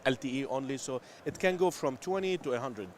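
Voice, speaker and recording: A man's voice: medium pitch, brisk, a little hiss or hum. A man from Lebanon, a company founder, talking eagerly and loosely in a live trade show interview with many restarts. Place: busy trade show floor. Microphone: headset.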